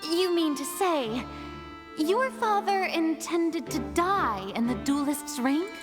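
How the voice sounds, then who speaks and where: A woman's voice: very high voice, lively intonation, takes it slowly, exaggerated voice. An actress voicing an earnest young female courtroom questioner in a video game, careful and a little hesitant. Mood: careful, serious. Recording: game music clearly behind.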